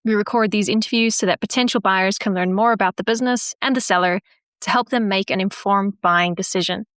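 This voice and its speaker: A woman's voice: medium pitch, fairly even intonation, brisk, a bit bright, no echo. Woman podcast host, dry close sound. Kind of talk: scripted intro and interview questions.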